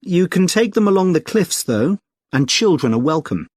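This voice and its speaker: A man with a British accent playing a friendly, helpful club secretary in a clearly enunciated scripted listening-test conversation, polite and explanatory. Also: high-pitched, very animated, normal speed, a little mellow, no room sound, clean studio sound. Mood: helpful, informative. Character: friendly, helpful male secretary of a conservation group. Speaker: actor in a listening test dialogue.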